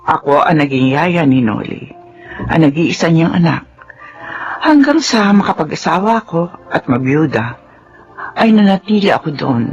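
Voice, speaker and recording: Weary widowed housemaid, gentle, motherly, varied pitch, muffled recording. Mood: reminiscing. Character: weary loyal middle-aged widowed housemaid. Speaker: radio drama actor. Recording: dull lo-fi radio recording.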